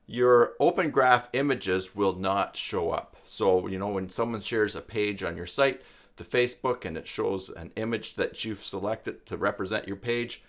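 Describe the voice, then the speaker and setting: A man's voice: lowish voice, normal speed. A man in his fifties hosting a live-stream tutorial in a casual, conversational way, wandering into asides. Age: 50s.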